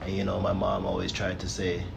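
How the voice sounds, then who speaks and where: A man speaking as a guest in a casual discussion, slow and measured, often adding "you know". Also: deep voice, completely flat delivery, vocal fry, noisy background.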